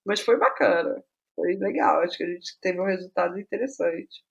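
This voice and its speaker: A Brazilian woman speaking casually as an interview guest, telling stories in an animated way and thinking aloud with rhetorical asides. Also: on the low side, normal speed, vocal fry, dull audio. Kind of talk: casual conversational interview about writing process.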